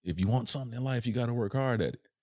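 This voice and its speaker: A man's voice: mid-range voice, racing, darkish tone, no room sound, clean studio sound. A young adult man from Chicago, a rapper talking as a podcast guest in an earnest, reflective way, often checking in with "right?". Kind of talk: casual reflective interview chat.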